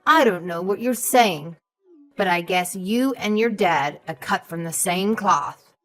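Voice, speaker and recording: A woman's voice: fairly low pitch, unhurried. A woman playing a haughty, suspicious elderly mother-in-law, scornful and condescending, in a scripted audio story. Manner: condescending, sharp, calls others sweetheart and darling.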